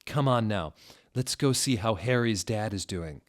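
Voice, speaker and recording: Man acting a suave, coaxing evil dark lord. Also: fairly low pitch, expressive, a little slow. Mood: reassuring.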